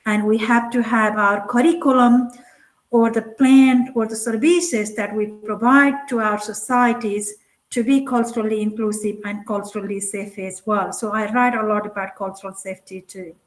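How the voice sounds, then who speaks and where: Casting: middle-aged woman from Nepal, second-language English, slightly high voice, earnest keynote speaker with plenty of "um" and restarts. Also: steady tone, normal speed, poor audio. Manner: earnest, many fillers and restarts. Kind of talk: conference keynote talk.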